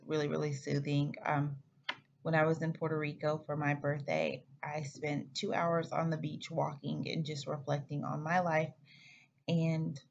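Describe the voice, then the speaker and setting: Low, gravelly-voiced woman in her thirties. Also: darkish tone. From Oklahoma, United States. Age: 30s. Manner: chatty, rambling, frequent self-corrections. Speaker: flight attendant vlogger. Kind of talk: casual chat to camera. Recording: slightly muffled with faint background hiss.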